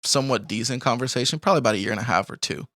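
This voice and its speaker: A man's voice: mid-range voice, racing, sharp tone, dry recording, studio quality. A young man from Colombia telling his life story in a casual interview, rambling with lots of fillers and frequent laughs. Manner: rambling, laughs often, many fillers like "like" and "you know". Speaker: podcast guest.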